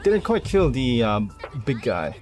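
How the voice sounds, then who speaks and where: A man's voice: mid-range voice, big pitch swings, unhurried, a little mellow, soft background music. A man giving casual gameplay commentary, thinking aloud and muttering half-finished plans with some mild swearing. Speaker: video game streamer.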